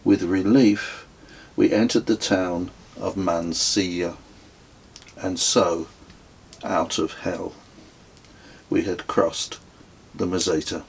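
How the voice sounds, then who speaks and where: A middle-aged man reading his own travel memoir as an audiobook, in a measured, dryly wry manner. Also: low-pitched, steady tone, vocal fry, slight background noise, poor audio. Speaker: author reading own book.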